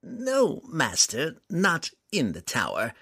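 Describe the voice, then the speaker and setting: A small demon servant whimpers and pleads in a high, quavering voice. The pitch leaps all over and the words come slowly and fearfully. There is a breathy, husky edge to the audiobook voice. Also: male voice, huge pitch swings, pushed and bright, full character voice. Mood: cowering. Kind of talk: audiobook character voice.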